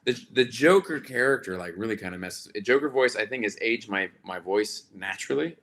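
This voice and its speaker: Chatty slightly raspy man hosting. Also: on the low side, expressive, a little fast, fairly bright tone. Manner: chatty, rambling with many fillers and restarts. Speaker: livestream host. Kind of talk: casual live chat with viewers.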